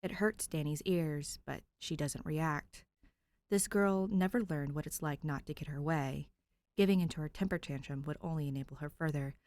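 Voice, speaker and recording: A woman's voice: deep voice, normal speed, darkish tone, dry recording. A woman podfic reader narrating fan fiction expressively, with an informal, conversational touch.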